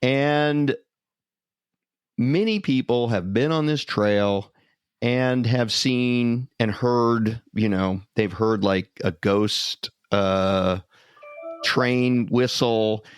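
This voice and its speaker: A man with a medium-pitched voice talks as a podcast co-host. He tells things in a casual, conversational way, recorded dry with very little room sound. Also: extremely slow, smooth, dry recording. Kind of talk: casual chat and storytelling.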